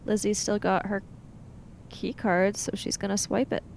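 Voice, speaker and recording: A woman's voice: flat delivery, normal speed, gravelly. A woman narrating and role-playing her character in a tabletop role-playing game. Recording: low rumble and background noise.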